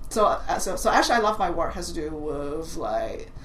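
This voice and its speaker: A woman's voice: low voice, big pitch swings, a little fast, fairly bright tone. Woman, 40s, Cantonese accent with British and American traces, hesitant, echoey room recording. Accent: Cantonese with British and American English traces. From Hong Kong. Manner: hesitant, many restarts and fillers. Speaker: artist guest. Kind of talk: conversational interview. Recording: echoey room, faint noise.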